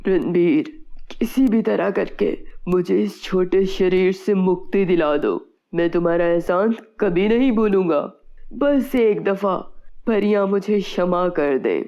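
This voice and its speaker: Woman putting on a low, creaky scheming landowner. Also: takes it slowly, warm tone. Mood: pleading. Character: jealous, scheming male orchard owner, later pleading. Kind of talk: children's cartoon storybook voice.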